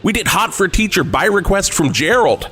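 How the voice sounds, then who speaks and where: Man playing a lively deadpan classic rock DJ. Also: sits high, normal speed. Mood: showy, wry. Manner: deadpan, showy radio patter. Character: smooth-talking, deadpan male classic rock radio DJ. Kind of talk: parody classic rock radio shift. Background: music behind the voice.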